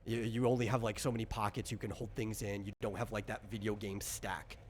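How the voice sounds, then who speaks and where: A man's voice: on the low side, flat delivery, brisk, a little hiss or hum. A man explaining things in casual chat, in a rambling, hesitant way, as a game designer running character creation.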